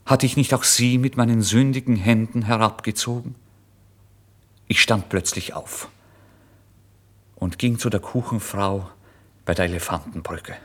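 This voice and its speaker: A rather low-voiced, destitute young man confesses his story in a literary radio play. He is brooding and feverish, tormented yet exalted. His intense delivery moves between hushed murmurs and loud, impassioned declamation. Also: fairly even intonation, a little slow, long pauses. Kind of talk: dramatic first-person literary narration in a German radio play.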